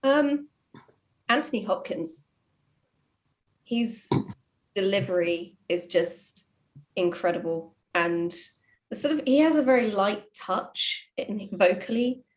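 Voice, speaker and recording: A woman talking reflectively in a casual panel chat, thoughtful, with measured phrases and pauses. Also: mid-range voice, lively intonation. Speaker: writer on a panel.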